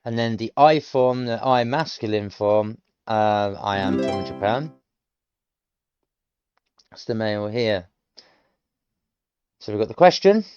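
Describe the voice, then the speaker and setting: A man's voice: fairly low pitch, slow, pauses often, darkish tone, clean tone, highs cut off. A British man in his late thirties, thinking aloud as he works through a language course, explaining each answer step by step with frequent hesitations. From United Kingdom. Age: late 30s. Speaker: self-taught learner streaming. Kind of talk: thinking aloud while working through lessons.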